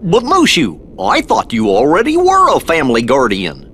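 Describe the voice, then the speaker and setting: An actor playing a good-natured, slow-witted cartoon dog in a video game, dopey, folksy and earnest. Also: male voice, on the high side, wildly swooping pitch, fairly bright tone, a little hiss or hum. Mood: earnest, puzzled.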